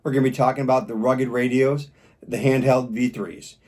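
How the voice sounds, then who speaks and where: A man's voice: medium pitch, steady tone, normal speed, darkish tone. Casual man giving a hands-on gear review. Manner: informal, demonstrates step by step. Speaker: product reviewer.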